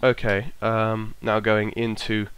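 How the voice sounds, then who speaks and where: Croaky-voiced man muttering through a checklist. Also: on the low side, little pitch movement, slow pace, a bit bright. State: croaky from a cold. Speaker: flight sim streamer. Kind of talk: casual narrated walkthrough.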